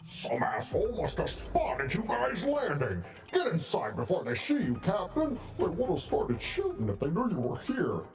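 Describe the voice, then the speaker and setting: Animated, plainspoken protective father. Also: sits high, normal speed, hardly any pauses, distant mic, faint music behind, full character voice. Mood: urgent, resolute. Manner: folksy, frank, quietly threatening. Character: protective, plainspoken older father of an outlaw woman. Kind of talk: scripted video game voice acting.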